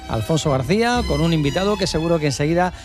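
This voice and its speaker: Guy presenting a radio bit, upbeat and kinda high, soft music under him. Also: lively intonation, quick pace. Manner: upbeat, announcing. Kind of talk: radio segment introduction. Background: theme music.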